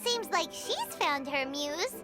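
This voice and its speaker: A tiny, excitable fairy sidekick from an anime game. The voice is extremely high and squeaky, swinging up and down at an unhurried pace, with loud music behind it. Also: female voice, full character voice. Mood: curious, musing. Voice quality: squeaky, childlike. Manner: speaks of self in third person, exclaiming. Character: tiny bubbly fairy companion, childlike and excitable. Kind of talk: anime video game voice acting.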